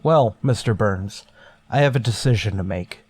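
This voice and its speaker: A man playing a stern, wary starship captain in a sci-fi radio drama, giving curt orders with measured authority. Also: lowish voice, darkish tone, breathy edge, no room sound. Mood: grave, deliberating. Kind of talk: golden age sci-fi radio drama acting.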